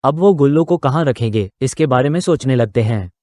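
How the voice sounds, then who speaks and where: A man's voice: medium pitch, lively intonation, fairly quick, warm tone, no room sound. A man narrating a scripted moral story for a children's cartoon.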